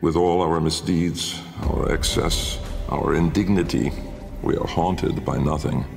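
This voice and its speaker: An actor playing an old, haunted, learned nobleman-scientist in a video game, delivering a grave, measured gothic monologue with a gravelly voice. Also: extremely low pitch, fairly even intonation, exaggerated voice. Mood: grave, regretful. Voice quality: gravelly, creaky. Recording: long cavernous reverb under music.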